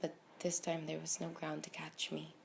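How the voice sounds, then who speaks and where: A woman in her early twenties performing dramatic spoken word poetry, with a deliberate, emotive delivery full of pauses and emphasis. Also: deep voice, completely flat delivery, gravelly, slight background noise. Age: early 20s. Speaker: slam poet.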